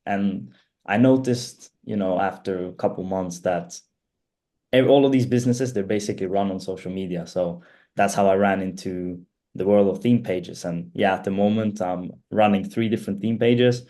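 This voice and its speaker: A man in his twenties from Sweden, chatting casually as an interview guest, relaxed and rambling with lots of fillers. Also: deep voice, moderate pace. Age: 20s. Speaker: guest, student and page owner.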